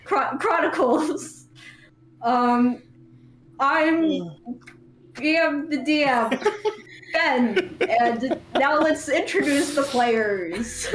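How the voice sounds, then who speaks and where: A woman doing a playful impression of the male game master, slow and giggling. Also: sits high. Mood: giggly. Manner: giggly, slow, self-mocking. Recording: echoey room with noise.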